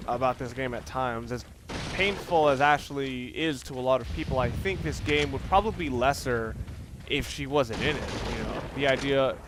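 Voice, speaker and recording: A man's voice: mid-range voice, moderate pace. A man giving casual, off-the-cuff video game commentary, rambling and musing as he plays and swearing casually. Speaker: let's play gamer. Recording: loud constant background noise.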